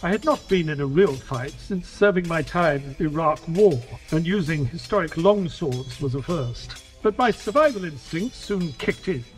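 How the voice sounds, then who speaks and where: An actor playing an earnest detective's companion, giving dramatic first-person narration of an adventure. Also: male voice, fairly high pitch, animated, moderate pace, hardly any pauses, soft background music, natural voice, rough recording. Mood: tense.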